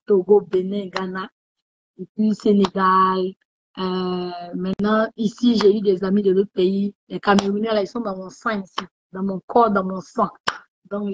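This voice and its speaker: A woman hosting a casual motivational livestream, chatting warmly and repeating herself, with many fillers. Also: medium pitch, dull audio, slight background noise. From West Africa. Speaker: livestream host.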